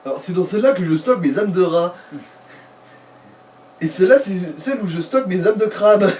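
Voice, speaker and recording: A man's voice: sits high, animated, normal speed, a little mellow. A man co-commentating a game in casual joking chat, wryly riffing on absurd ideas. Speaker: let's play co-commentator. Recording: distant microphone, faint noise.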